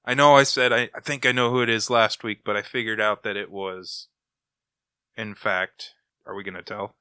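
A man's voice: fairly low pitch, fairly even intonation, moderate pace, pushed and bright, clear, resonant tone, no room sound, studio quality. A man chatting casually as a podcast co-host. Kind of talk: casual chat.

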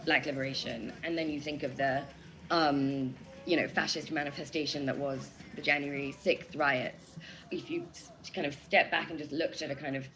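A woman's voice: sits low, fairly even intonation, moderate pace, fairly bright tone, rough recording. A woman in a reflective, unscripted discussion as a guest analyst, feeling her way through intellectual phrasing with hesitations. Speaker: interview guest giving political analysis. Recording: music playing behind the voice.

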